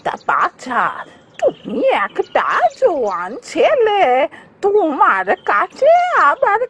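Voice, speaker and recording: Cartoon granny: kindly villager, high-pitched, wildly swooping intonation, halting delivery. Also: female voice, wildly swooping pitch, a bit bright, exaggerated voice. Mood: kindly, curious. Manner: drawn-out, halting speech. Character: kindly slow old village woman. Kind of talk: animated fairy tale voice acting.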